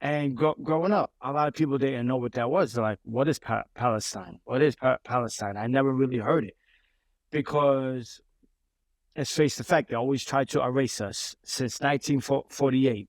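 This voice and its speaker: A man's voice: mid-range voice, fairly even intonation, moderate pace, dry recording. A man, a guest in a casual interview, telling his story with many repeats and restarts. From Brooklyn, New York. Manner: repeats words and restarts often.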